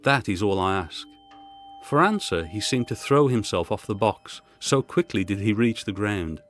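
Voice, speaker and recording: A man reading a Gothic short story as a scripted audiobook narration, with music behind him. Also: lowish voice.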